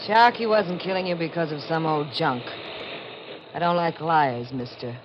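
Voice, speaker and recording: A woman's voice: low-pitched, unhurried. An actress playing a ruthless young beachcomber woman, curt and cool, in a 1940s radio mystery. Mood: cold, commanding. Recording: dull, faintly noisy 1940s radio recording.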